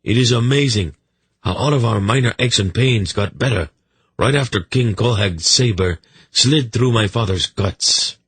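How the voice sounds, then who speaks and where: Guy doing a mocking young king in a fantasy audiobook, kinda whiny and wry, pitched up, dry sound. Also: normal speed, a bit bright, breathy edge, dry recording. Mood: bitterly mocking. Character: sardonic, plaintive young king, once a sickly boy.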